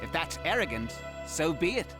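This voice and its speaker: A man's voice: on the high side, sing-song, moderate pace, over music. A man playing a proud, principled revolutionary pamphleteer in a cartoon, earnest and declamatory. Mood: proud, defiant.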